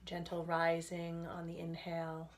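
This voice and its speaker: A woman leading a guided relaxation as a meditation instructor, speaking gently and soothingly in unhurried phrases with pauses. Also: on the low side, monotone.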